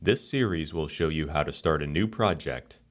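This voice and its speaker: A man giving calm, scripted narration for a software tutorial screencast. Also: extremely low pitch, normal speed.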